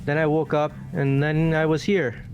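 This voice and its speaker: A man's voice: fairly high pitch, flat delivery, moderate pace, darkish tone, muffled recording, lightly acted. A man voicing a goofy, clueless young guy who protests in a whiny, slangy way, in a fan-dubbed visual novel. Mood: bewildered. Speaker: voice actor in fan game playthrough. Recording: music under the voice.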